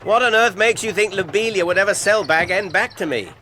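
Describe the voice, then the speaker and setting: An actor playing a gentle, wistful hobbit gentleman in a radio fantasy drama, polite and reflective. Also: high-pitched, fairly quick, a crowd in the background, natural voice. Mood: friendly.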